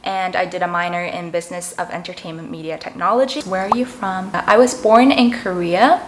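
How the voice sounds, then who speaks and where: A woman in her twenties, raised in Canada, chatting casually and brightly to viewers while tasting desserts. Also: lowish voice, fairly even intonation, brisk, slight background noise. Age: 20s. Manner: bubbly, chatty, eating between sentences. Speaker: vlogger. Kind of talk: casual mukbang chat.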